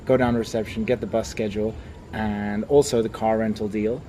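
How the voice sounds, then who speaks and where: A man's voice: fairly low pitch, a little fast. Young guy from hong kong chatting about his travels, laid-back, traffic noise behind. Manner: relaxed storyteller, animated when telling anecdotes. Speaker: interviewee, traveler. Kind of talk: casual interview chat.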